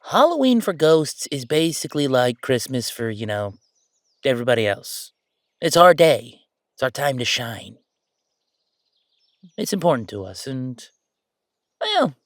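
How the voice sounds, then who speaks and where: Casting: male actor for playful, bossy male ghost roommate, sentimental underneath, very lively pitch, dry close sound, audio roleplay. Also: no echo, lightly acted. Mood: sincere, softened. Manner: animated, teasing, banter with pauses and repeats. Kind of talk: roleplay audio drama monologue, conversational.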